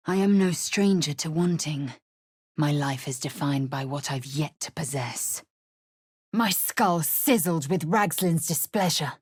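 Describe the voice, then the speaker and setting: Fierce haughty alien warrior lady from a video game, low n husky, clipped formal talk. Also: measured pace, no echo, clean studio sound. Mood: commanding, seductive. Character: proud, fierce alien warrior woman, stern and imperious. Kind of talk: video game character voice acting.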